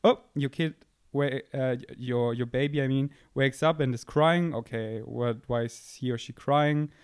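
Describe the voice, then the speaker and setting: A young man talks into a handheld microphone held close to his mouth, rambling from one thought to the next in a playful, self-mocking way. His pitch sits in the middle for a man, and the room sound is dry. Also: measured pace, smooth, dry recording. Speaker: podcast host. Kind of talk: casual rambling monologue. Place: indoor room, seated on a couch.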